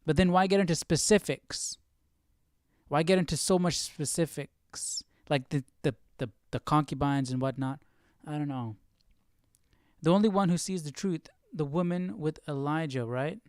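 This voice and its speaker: A man's voice: fairly high pitch, moderate pace, darkish tone, smooth, no room sound, clean studio sound. A man hosting a live stream with casual, informal commentary, musing aloud and repeating phrases as he thinks. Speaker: host of a live Bible study stream.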